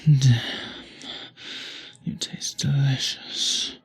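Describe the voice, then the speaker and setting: A man playing a charming, flirtatious, predatory vampire in an ASMR roleplay, murmuring intimately and teasingly with a breathy, husky voice. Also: mid-range voice, flat delivery, drawn-out words, heavily acted. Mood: hungry, breathless.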